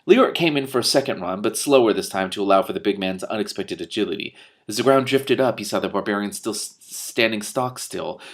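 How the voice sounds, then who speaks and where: A man reading a fantasy story aloud expressively, stumbling and rereading at times, with off-the-cuff asides. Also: lowish voice. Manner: animated in dialogue, stumbles and rereads. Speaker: reader giving commentary on a book.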